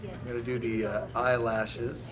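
A man's voice: mid-range voice, level tone, a little slow. A man painting a face who describes his work in a laid-back, jokey way, in a small indoor room. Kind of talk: casual demonstration talk. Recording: echoey room with background noise.

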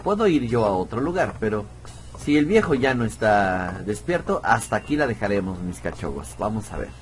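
A man's voice: lowish voice, sing-song, moderate pace, a little hiss or hum. A man giving casual let's play commentary, musing aloud in a chatty, self-deprecating way. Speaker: gameplay streamer.

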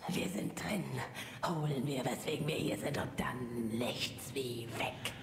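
Game stealth operative: low, creaky, curt and wary, slow half-whispered delivery. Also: female voice, loud music behind the voice, exaggerated voice. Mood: tense, hushed. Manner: curt, hushed, no-nonsense. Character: curt, wary female infiltrator on a stealth mission. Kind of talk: video game voice acting.

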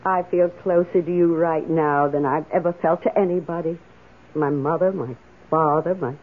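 A woman's voice: deep voice, fairly even intonation, normal speed. An actress playing an elderly, wry and tipsy woman with theatrical 1970s radio drama delivery. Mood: wry, fond. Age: older. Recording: muffled old radio broadcast.

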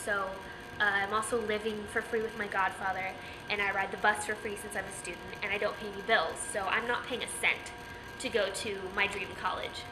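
Candid young woman with steady background noise. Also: medium pitch, fairly quick. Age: young adult. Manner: candid, filler-heavy with frequent "like". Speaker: interviewee, college freshman. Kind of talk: casual personal story.

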